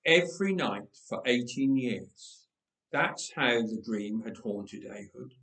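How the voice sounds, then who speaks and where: A man reading a story aloud in a dramatic storytelling style, with deliberate, expressive phrasing and emphatic repetition. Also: mid-range voice. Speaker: story reader. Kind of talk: dramatic read-aloud storytelling of a Bible tale. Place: inside a church. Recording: roomy, echoing church acoustic.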